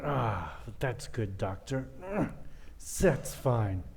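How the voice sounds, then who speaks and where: A man playing a sinister, scheming nephew in a radio play, measured and menacing. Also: medium pitch, gravelly, noisy background. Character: sinister, controlling villainous nephew scheming coolly.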